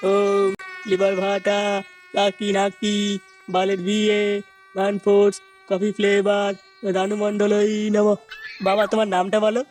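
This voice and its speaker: A man acts out a mock-solemn cartoon wedding priest. His voice sits fairly high and barely moves in pitch as he drones crude chants. Faint music plays behind him. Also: completely flat delivery, measured pace, darkish tone.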